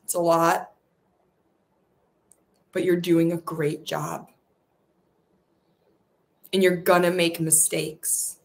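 Woman meditation guide: soft, soothing, repetitive affirmations, unhurried and even, low-set voice. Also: vocal fry.

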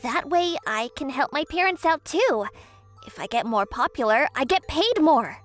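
A woman voicing a bashful but feisty, secretly sweet teenage schoolgirl maid in a manga romcom dub, shy and halting. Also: high-pitched, lively intonation. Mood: earnest, bashful. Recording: music behind the voice.

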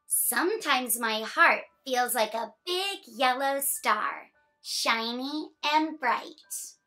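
A young woman hosting children's story time, reading a picture book aloud in an animated, expressive way. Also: high-pitched, extremely slow, pauses often, faint music behind. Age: young adult.

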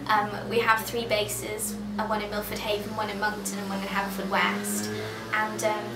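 A woman's voice: medium pitch, completely flat delivery, normal speed. Earnest young woman, echoey room. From Pembrokeshire, Wales. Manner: earnest, heartfelt, hesitant with fillers. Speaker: charity volunteer. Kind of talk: documentary interview. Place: indoor room. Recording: echoey room with music over.

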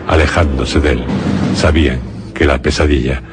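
A man's voice: very deep voice, fairly even intonation, moderate pace. A man narrating a scripted suspense radio play in a solemn storytelling manner. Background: prominent dramatic music.

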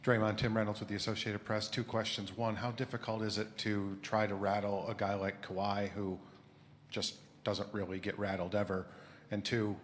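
A man, a sports reporter, asking a two-part question at a press conference in a plain, even way. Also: lowish voice, moderate pace, a little hiss or hum. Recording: reverberant press room with faint ambience.

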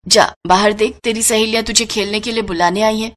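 A woman voicing a gently authoritative elderly village mother-in-law and grandmother in a cartoon moral story. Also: mid-range voice, steady tone, fairly quick, natural voice. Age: older.

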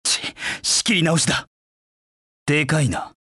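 An actor voicing a proud, cold dark knight in a video game, with curt, haughty, disdainful delivery. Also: male voice, fairly high pitch, a little slow, slightly rough, no echo, clean studio sound, full character voice. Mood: confident, aloof. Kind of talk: video game voice acting in short battle lines.

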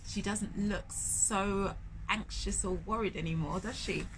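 A woman in her thirties reading a picture book aloud to young children, with expressive drawn-out emphasis and questions put to the listener. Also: medium pitch, slight background noise, low-quality recording. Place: indoors in a bookshop, in front of shelves.

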